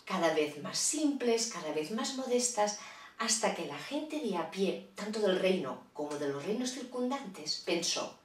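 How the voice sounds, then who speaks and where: A woman tells a children's fairy tale, lively and expressive, with warm asides to her young listeners. Her voice sits in a middle pitch and sounds a little away the microphone. The room gives it a clear roomy echo. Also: unhurried, distant mic. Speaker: storyteller. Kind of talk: children's storytelling.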